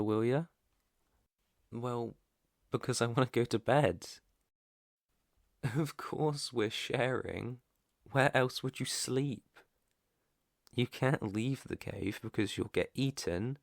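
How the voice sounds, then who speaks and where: Man playing a slow-talking gentle werewolf, slightly gravelly. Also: medium pitch, dry recording, studio quality. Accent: British. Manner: soft, tender, unhurried, reassuring. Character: protective, gentle, clueless male alpha werewolf. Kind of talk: intimate ASMR roleplay. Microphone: close microphone, dry.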